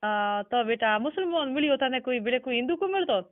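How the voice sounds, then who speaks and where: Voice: sits high, lively intonation, fairly quick, no room sound. A person with a Rajasthani accent speaking informally on a phone call, asking insistent, repeated questions. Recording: muffled band-limited phone call recording.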